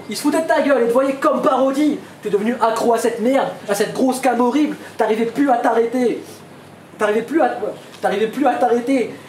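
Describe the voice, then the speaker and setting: High-voiced man rapping in an echoey hall. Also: darkish tone. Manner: taunting, mocking delivery. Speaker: battle rapper. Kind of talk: a cappella rap battle. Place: event hall with a crowd. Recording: echoey hall with crowd noise.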